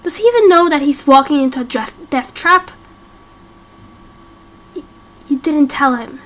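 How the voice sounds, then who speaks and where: A protective, defiant woman, played by a female voice actor in a game roleplay. Her voice is high and her manner earnest and accusing. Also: lively intonation, measured pace, a bit bright.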